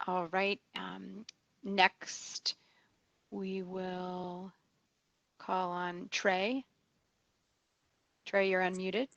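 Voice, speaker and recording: Slow-paced woman moderating a call. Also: fairly low pitch, level tone, vocal fry, fairly bright tone, no echo. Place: remote conference call. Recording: dull, dry call audio.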